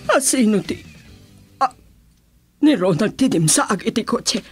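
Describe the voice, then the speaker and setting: A woman's voice: mid-range voice, expressive, a little slow, soft background music, natural voice. A woman playing a distraught older mother in a radio drama, emotional and exclamatory. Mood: anxious. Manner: emotional, exclamatory, tearful delivery. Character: distraught older mother fearing for her son. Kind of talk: Ilocano radio drama acting.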